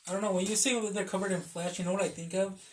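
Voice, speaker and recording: A young woman with an average-pitched voice banters on a podcast. She is slangy and teasing, often putting questions to her friends. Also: steady tone, racing, far from the mic, slight background noise. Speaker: podcast host. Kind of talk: casual banter among friends.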